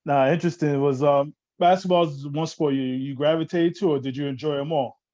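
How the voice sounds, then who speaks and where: Eager host, muffled dry sound. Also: male voice, a little high, fairly even intonation, a little fast, dark tone, no room sound. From New Jersey, born in Newark, raised in Orange. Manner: eager, repeats "like I said", laughs often. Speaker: interview host. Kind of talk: casual interview.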